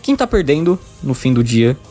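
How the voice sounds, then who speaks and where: A man's voice: mid-range voice, very animated, moderate pace. A man with a Brazilian accent reading a scripted video game review in an emphatic, opinionated way. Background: background music.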